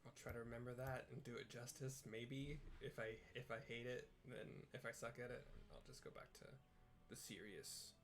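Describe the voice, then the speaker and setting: A man's voice: medium pitch, fairly even intonation, moderate pace. A man hosting a casual video game playthrough, describing scenes in a rambling, hesitant way as he searches for words. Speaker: host narrating a game playthrough with audio descriptions. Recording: game music behind the voice.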